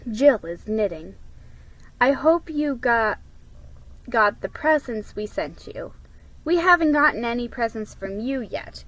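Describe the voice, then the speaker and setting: A woman's voice: a little high, animated, measured pace, fairly bright tone, poor audio. A woman voicing an earnest, hopeful young girl in a storybook reading.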